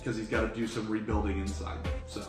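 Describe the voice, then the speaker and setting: A man's voice: fairly low pitch, level tone, quick pace. A man improvising narration as a tabletop game master, speaking casually and swearing freely. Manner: casual, profane. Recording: roomy, distant mics, music underneath.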